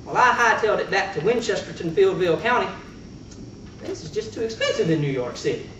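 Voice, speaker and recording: A man's voice: high-pitched, wildly swooping pitch, a little fast, fairly bright tone, muffled recording. A man telling a comic tall tale to a live audience in a folksy country manner with rustic slang. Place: meeting room before an audience. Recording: echoey, noisy room recording from far off.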